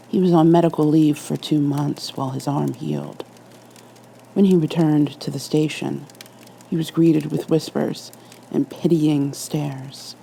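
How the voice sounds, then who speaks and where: A woman reading a creepy story in a soft, scripted ASMR narration style. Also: deep voice, gravelly, a little hiss or hum, poor audio.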